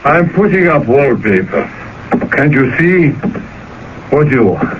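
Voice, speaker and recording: An actor playing a gruff, suspicious workman in a radio drama, curt and exasperated. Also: on the high side, very animated, measured pace. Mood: irritated. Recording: dull vintage radio recording, faint noise.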